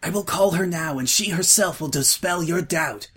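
A man voicing a frail, starving male changeling who clings to his faith, pleading in a raised, husky, breathless voice. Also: a little high, normal speed, a bit bright, pitched above the performer's own voice. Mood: fervent pleading.

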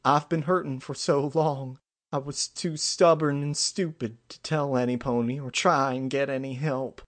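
A guilt-ridden little filly pony performed by a man from a storybook reading. The voice is lifted high and pushed, with a country drawl and a tearful, confessing manner. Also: steady tone, moderate pace, lightly acted. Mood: sad, ashamed.

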